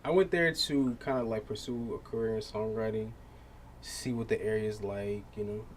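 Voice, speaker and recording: A young man from Massachusetts talks in a relaxed, rambling way as a guest singer on a casual podcast. His voice sits fairly low. There is faint background noise. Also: normal speed. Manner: laid-back, lots of filler words. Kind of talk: casual chat.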